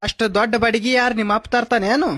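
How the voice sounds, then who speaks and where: A man's voice: extremely high pitch, steady tone, fast, fairly bright tone. A man voicing a scornful schoolteacher in a cartoon skit, with a North Karnataka Kannada accent. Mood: mocking. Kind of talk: animated comedy skit dialogue.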